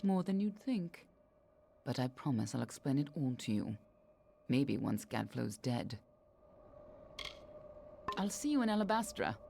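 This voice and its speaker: A woman's voice: very deep voice, very animated, a little slow, vocal fry, pauses often, warm tone, a little hiss or hum, exaggerated voice. A woman playing a guarded, sardonic warrior-spy in a fantasy video game, speaking in a dry, wry, confiding way. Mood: grave, confiding. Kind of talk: video game character dialogue. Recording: music behind the voice.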